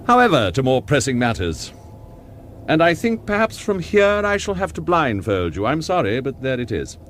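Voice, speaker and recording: Radio thriller villain, English accent, courteous, condescending, coldly menacing, very varied pitch, slight background noise. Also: male voice, sing-song, fairly bright tone. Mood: smooth menace. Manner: polite, formal, unhurried, condescending.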